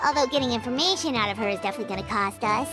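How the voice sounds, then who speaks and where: A woman's voice: fairly high pitch, racing, sharp tone, voice pushed up. A woman voicing a tiny, chirpy, childlike fairy companion in a video game. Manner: chirpy, speaks of herself in third person. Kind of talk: amateur video game voice acting. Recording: music behind the voice.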